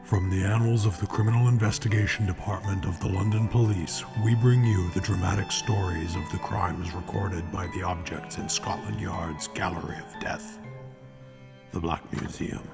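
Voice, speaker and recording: An actor playing a sardonic, ominous narrator of true-crime murder tales in an old-time radio mystery style, with dramatic pauses. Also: male voice, sits low, creaky.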